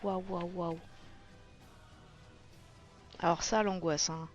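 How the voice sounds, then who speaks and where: A woman's voice: fairly low pitch, fairly even intonation, slow. Enthusiastic woman, muffled sound over music. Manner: enthusiastic, exclamatory, awed. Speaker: host of a colouring book flip-through. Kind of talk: casual live-reaction commentary.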